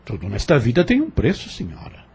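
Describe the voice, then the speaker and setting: An actor in an audio novel playing a courteous, reserved, educated farm overseer who speaks in a formal, measured, gallant way. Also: male voice, medium pitch, huge pitch swings, vocal fry, darkish tone, slightly rough, exaggerated voice. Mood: teasing, bargaining.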